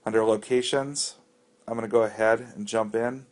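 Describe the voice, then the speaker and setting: Casting: American male reviewer with a fairly low voice, walking through software off the cuff, plain-spoken and explanatory. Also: steady tone, normal speed. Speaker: app reviewer giving a walkthrough. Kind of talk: casual unscripted tutorial narration.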